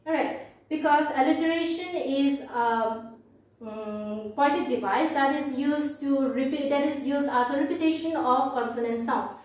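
A young woman with an Indian accent and a high-pitched voice teaches an English class. She explains in halting, repeated phrases and reads aloud as a teacher would. The room is very echoey and she sounds distant from the microphone. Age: young adult. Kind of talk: classroom lesson, explaining and reading a poem aloud. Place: indoor classroom at a blackboard.

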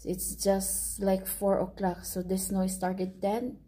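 A woman in her forties, born in the Philippines, vlogging casually on her phone from a high-rise apartment and balcony, pointing things out to viewers. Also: little pitch movement, takes it slowly, warm tone. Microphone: phone at arm's length. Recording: phone recording with clear outdoor traffic noise.

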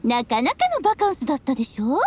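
A woman's voice: sits high, very animated, very fast, breathy edge, muffled recording, exaggerated voice. A woman playing a playful, free-spirited witch mother in a Japanese anime game, teasing and affectionate. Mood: teasing, breezy.

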